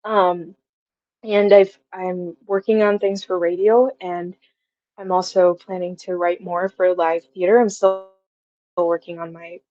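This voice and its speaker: A woman in her twenties speaks as a guest in a casual interview, with a halting, reflective delivery. The sound is dry and close, a little dull in the top end. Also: fairly low pitch, level tone, no room sound. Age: 20s. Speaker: guest, audio drama writer.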